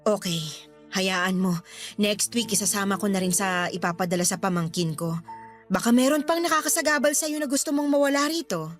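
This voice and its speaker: A woman's voice: medium pitch, moderate pace, faint music behind, lightly acted. Woman acting a long-suffering girlfriend, dry retorts. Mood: restrained, apologetic. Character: long-suffering middle-aged live-in girlfriend, finally talking back. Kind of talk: Tagalog radio drama acting.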